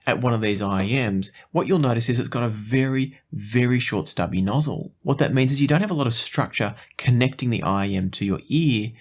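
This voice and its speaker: A man presenting a product review in a conversational, explanatory and even-handed way. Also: mid-range voice. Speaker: host of an audio gear review show.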